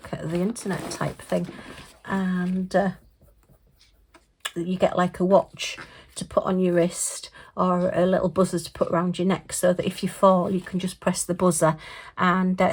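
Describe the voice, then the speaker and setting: Chatty, slightly hoarse woman crafting at home. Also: lowish voice, a little mellow, slight background noise, rough recording. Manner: chatty, rambling, with frequent fillers and light laughs. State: slightly hoarse, losing her voice. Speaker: craft vlogger. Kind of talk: casual chat while crafting. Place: home craft room, at a desk. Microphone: overhead camera above the desk, hands only.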